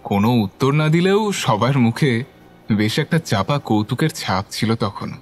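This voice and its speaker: A man narrates a horror tale in a scripted, dramatic style. His voice is of medium pitch, and soft music plays faintly beneath it. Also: expressive, measured pace. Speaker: story narrator.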